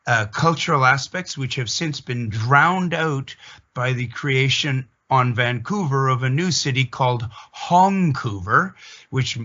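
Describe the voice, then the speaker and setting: A Canadian man from Atlantic Canada with a Canadian accent gives an opinionated, emphatic explanatory monologue, telling it deliberately like a story in an interview. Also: medium pitch, sharp tone, highs cut off.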